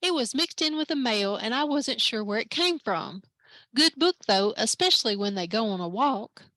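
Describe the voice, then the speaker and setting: A woman's voice: a little high, animated, normal speed, sharp tone, no echo. A woman reading aloud voices an easygoing, chatty grown man in a picture book. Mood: sheepish.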